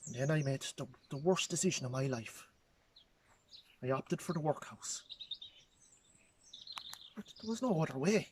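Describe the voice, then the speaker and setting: A man with an Irish accent acting a poor, grieving nineteenth-century farmer, telling his story in plain, halting rustic speech. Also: a little high, expressive, darkish tone, slight background noise, close to an everyday voice. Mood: anguished, bitter. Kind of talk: dramatic historical monologue.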